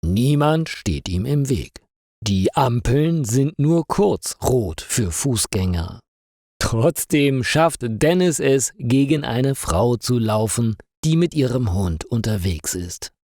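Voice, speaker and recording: Slow, animated male storyteller, very dry. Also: mid-range voice, dry recording. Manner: careful, clearly paced for learners. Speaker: storyteller for German learners. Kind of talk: scripted audiobook narration.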